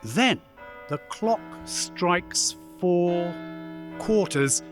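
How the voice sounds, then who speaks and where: A man narrating a radio play as a scripted storyteller, in a measured, dramatic manner. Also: high voice, lively intonation, music in the background.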